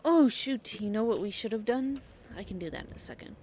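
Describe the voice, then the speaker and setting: A woman's voice: on the high side, brisk, a little mellow, slight background noise. A woman giving casual gameplay commentary as a streamer, talking to herself playfully and swearing casually. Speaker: game streamer.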